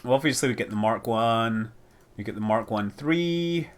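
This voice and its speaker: A man's voice: mid-range voice, expressive, normal speed. A man giving casual live stream commentary as he plays, rambling, thinking aloud and exclaiming over details. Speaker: streamer playing a space building game.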